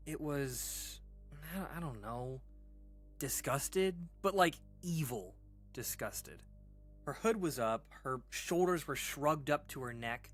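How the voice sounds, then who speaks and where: An actor playing a rattled storyteller in a horror audio drama, recalling a frightening childhood memory. The voice is mid-pitched and conversational, halting and stammering, with dramatic pauses. Music plays underneath. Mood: unsettled.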